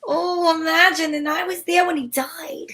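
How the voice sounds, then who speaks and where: A breezy, flirtatious lady detective acted by a woman with a high voice. She wisecracks archly in the style of a 1940s radio mystery, moving between narration and banter. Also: fairly even intonation, moderate pace, fairly bright tone, slight background noise. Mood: flustered, chatty. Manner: arch, wisecracking, endearments. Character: sassy female private eye, flirtatious and breezy.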